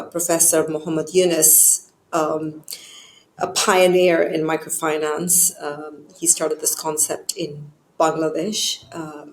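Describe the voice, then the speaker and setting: A woman's voice: deep voice, a little mellow. A woman from southern India, explaining her work and career articulately in a conversational interview. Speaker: charity chief executive, interviewee. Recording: roomy, echoing sound.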